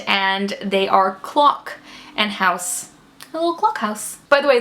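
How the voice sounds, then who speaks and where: A woman's voice: medium pitch, fairly bright tone. A young woman from the Netherlands, a native Dutch speaker, casually and playfully explaining to camera as a vlogger. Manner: chatty, playful, amused explaining.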